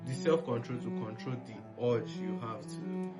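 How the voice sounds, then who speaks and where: A man's voice: medium pitch, fairly even intonation, a little slow. A man with a Nigerian accent speaking as a panelist in a casual, educational discussion, with a reflective, explanatory manner. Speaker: panelist on a history discussion series. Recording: music playing behind the voice.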